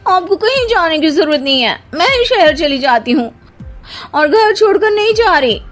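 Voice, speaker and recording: A woman plays a petulant young cartoon wife with a high, girlish voice. She whines and gushes theatrically, making a drama of every phrase, over background music. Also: sing-song, normal speed, fairly bright tone, heavily acted. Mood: angry, complaining. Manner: theatrical, whiny, gushing. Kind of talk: animated Hindi moral story voice acting.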